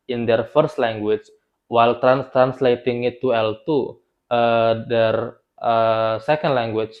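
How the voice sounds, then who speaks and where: A young man whose first language is Indonesian, presenting an explainer video on learning languages in a loose, unscripted way, with frequent restarts and fillers. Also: mid-range voice. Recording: slightly dull lo-fi sound.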